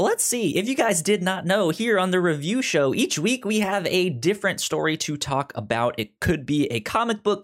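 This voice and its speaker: A man hosting a casual podcast, talking in an animated way with frequent restarts and repeated words. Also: fairly high pitch. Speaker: podcast host. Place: indoors, in a jacket.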